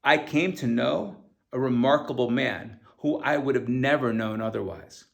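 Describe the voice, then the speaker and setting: A man reads his own first-person memoir as scripted audiobook narration, in an earnest, deliberate manner with emphatic stresses. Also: medium pitch, gravelly. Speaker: author reading own audiobook.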